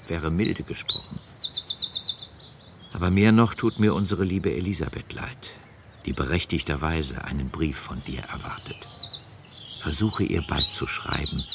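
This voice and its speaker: Deep, gravelly old father. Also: very noisy. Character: gently reproachful older father writing a letter. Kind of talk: German radio drama letter reading.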